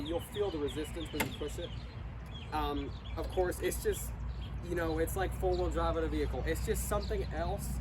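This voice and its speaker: A man's voice: fairly high pitch, background noise. A young man with a congested voice from illness or allergies, casually reviewing his lawn mower in a hesitant, rambling way full of fillers and restarts. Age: young adult.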